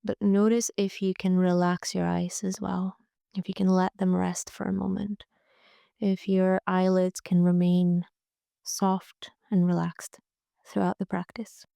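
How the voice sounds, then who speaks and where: A woman leading a guided relaxation meditation in a gentle, soothing, unhurried way, with frequent pauses. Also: on the low side, flat delivery, gravelly, dry recording, clean studio sound. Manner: gentle, soothing, pausing often. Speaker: yoga nidra guide.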